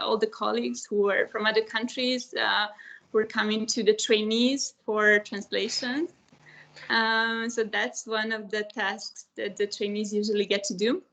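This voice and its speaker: A woman's voice: a little high, fairly bright tone, rough recording. A young woman from Romania, a panelist on a video call, talking hesitantly with many fillers about her traineeship. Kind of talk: online panel talk.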